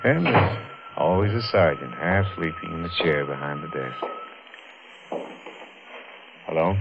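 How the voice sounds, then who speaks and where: Muffled old radio, a guilt-wrecked murderer coming apart, deepish voice, intense dramatic thriller acting. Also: male voice, lively intonation, normal speed, gravelly, loud music behind the voice. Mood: drained resignation. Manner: overwrought, intense dramatic delivery. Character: haunted, guilt-ridden man who killed his wife, unraveling. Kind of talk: 1950s radio thriller acting, first-person narration.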